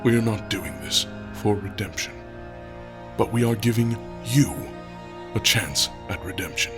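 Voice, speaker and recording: An actor playing a commanding male leader who addresses a council in a measured, solemn, quietly menacing way. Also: lowish voice, lively intonation, music in the background. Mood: resolute. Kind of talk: audio drama acting.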